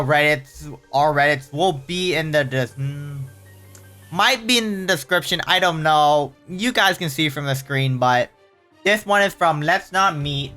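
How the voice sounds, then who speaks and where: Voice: mid-range voice, fairly bright tone. A casual host reading online horror stories aloud, often stumbling and correcting the wording, with startled, exclaiming reactions in between.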